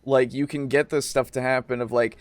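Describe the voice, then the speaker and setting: A man's voice: mid-range voice, little pitch movement, brisk, no room sound. A man co-hosting a casual roleplaying discussion, speaking informally and swearing freely. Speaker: podcast co-host and game master.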